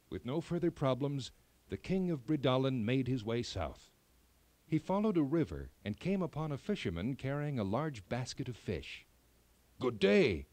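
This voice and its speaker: A man narrating a children's fairy tale in an expressive, measured storytelling style. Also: mid-range voice, dry recording.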